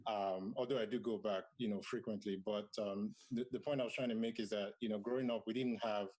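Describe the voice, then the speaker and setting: A man in his thirties who grew up in Nigeria, speaking on a virtual panel. His voice sits on the low side, and he talks in a reflective way with plenty of "um"s and restarts. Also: fairly even intonation, fairly quick, darkish tone. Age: 30s. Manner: thoughtful, with many fillers and restarts. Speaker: panelist, comic creator.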